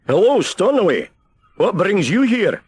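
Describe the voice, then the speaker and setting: A man voicing an excitable, giggly, self-important lighthouse keeper in a children's storybook, with flustered, exclamatory delivery. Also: high voice, measured pace, breathy edge, voice pushed up, heavily acted. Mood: chirpy, then miffed. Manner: exclamatory, flustered, over-eager. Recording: hissy old cassette transfer.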